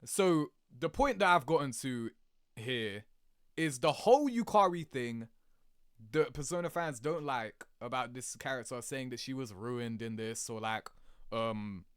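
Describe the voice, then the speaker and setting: Animated man reacting, closely recorded and dry. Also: mid-range voice, unhurried, clear, resonant tone, dry recording. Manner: animated, sweary, slangy, laughs easily. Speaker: video creator reacting to game cutscenes. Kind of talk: casual reaction commentary, rambling opinion.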